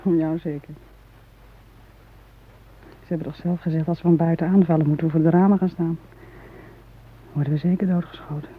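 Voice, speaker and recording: An actor in a radio play playing a frightened, fatalistic hostage who talks in a plaintive manner. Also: male voice, on the high side, flat delivery, brisk, long pauses, slightly rough, phone-quality sound. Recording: thin, noisy old radio recording.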